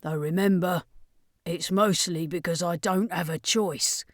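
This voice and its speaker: A woman voicing a world-weary but tender lady guardian in a narrated story, speaking with soft regret. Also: on the low side, steady tone, a little slow, no room sound, studio quality. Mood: regretful, resigned.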